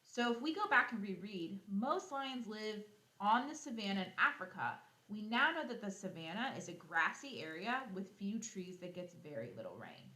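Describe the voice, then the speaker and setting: Female primary teacher for a kids' read-aloud, bright and crisp-edged, instructive with question-and-answer phrasing, recorded in a roomy space. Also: mid-range voice, moderate pace. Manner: animated, instructive, asks questions and answers them herself. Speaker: elementary school teacher. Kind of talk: read-aloud lesson for young children, explaining as she reads.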